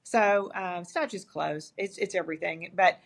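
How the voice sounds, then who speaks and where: A woman's voice: fairly low pitch, moderate pace, vocal fry. An older woman with an East Texas accent, a guest in an interview, talking candidly and telling stories with lots of restarts. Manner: candid, animated storytelling with frequent restarts. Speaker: guest, agency founder. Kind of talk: interview conversation.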